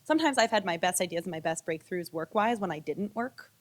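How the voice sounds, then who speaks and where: A woman's voice: fairly low pitch, animated, a little fast. A woman speaking candidly and personally on a public panel, in a conversational way. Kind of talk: panel discussion.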